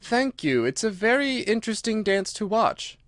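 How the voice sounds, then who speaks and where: A man's voice: high-pitched, very animated, moderate pace, no echo, clean studio sound, voice pushed up. A man voicing a polite, timid and curious young girl in a storybook, with a lilting, wondering manner. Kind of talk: storybook character voice.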